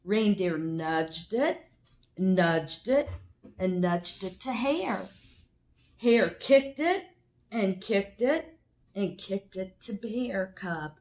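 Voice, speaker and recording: A woman reading a picture book aloud expressively for children, with deliberate emphasis. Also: mid-range voice, expressive, darkish tone. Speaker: children's story reader.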